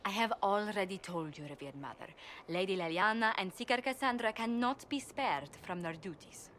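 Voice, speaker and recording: A woman's voice: mid-range voice, lively intonation, a bit bright, a little hiss or hum, lightly acted. Courteous, composed noblewoman ambassador played by an actress. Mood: politely firm. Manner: polite, formal, measured. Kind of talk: video game cutscene acting.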